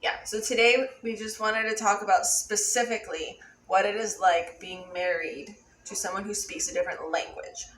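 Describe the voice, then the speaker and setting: A woman's voice: medium pitch, fairly even intonation, moderate pace, hardly any pauses, recorded from a distance. Young American woman vlogger, chatty and explanatory, echoey room, faint background noise. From Buffalo, USA. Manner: chatty, explains at length with frequent "like". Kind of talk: casual chat.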